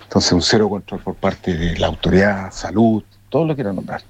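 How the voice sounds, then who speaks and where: A man's voice: low voice, brisk, vocal fry, slightly rough. A man with a Chilean accent giving his opinion informally to a show, in a colloquial, emphatic and repetitive way.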